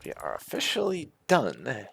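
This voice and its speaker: A man giving casual, musing roleplay commentary over a video game, with a gravelly voice. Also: medium pitch, wildly swooping pitch, a little slow, a bit bright. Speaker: gaming video host.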